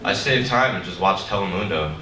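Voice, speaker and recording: Low-voiced young male stand-up comic. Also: brisk, heavy background noise. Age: young adult. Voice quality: low, deep voice. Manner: deadpan, rambling, self-mocking delivery. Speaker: stand-up comedian. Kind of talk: stand-up comedy set. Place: comedy club stage with a live audience. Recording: roomy, distant live club recording with loud crowd laughter.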